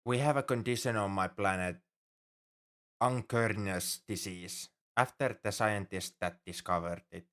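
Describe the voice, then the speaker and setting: A man's voice: lowish voice, measured pace, fairly bright tone. A man playing an anxious, overprotective alien crewmate in an audio roleplay, speaking formally and earnestly in a fretful, easily flustered way. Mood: earnest, explaining. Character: anxious, paranoid male alien crewmate fussing over human. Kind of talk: ASMR roleplay audio drama.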